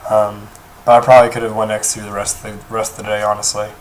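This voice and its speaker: A young man talks in a hoarse, worn voice, his pitch held flat and even. He rambles casually with frequent ums and restarts while going over a card deck. A faint hiss of room noise sits under him. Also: fast, poor audio. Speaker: card game deck reviewer. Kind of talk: casual deck profile talk. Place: indoors at a table, off camera.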